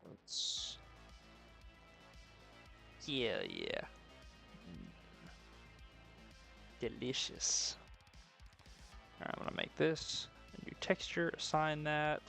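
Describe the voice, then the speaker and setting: A man's voice: on the high side, sing-song, normal speed, creaky, few pauses, sharp tone, faint music behind. A man casually thinking aloud on a live creative stream, muttering to himself in a playful, profane way. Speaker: streamer doing 3D art.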